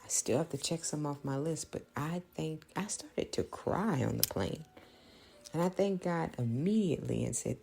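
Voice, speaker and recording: A woman, thinking out loud in a reflective, prayerful monologue, halting and earnest as she repeats phrases. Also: low voice, gravelly. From small-town Louisiana, United States. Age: late 30s. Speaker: podcast host.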